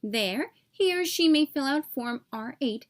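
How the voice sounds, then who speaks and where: Woman as storybook tooth fairy: high-pitched, pompous, prim official, stern and formal. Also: animated, unhurried. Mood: officious, stern. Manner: formal, pompous recitation of regulations.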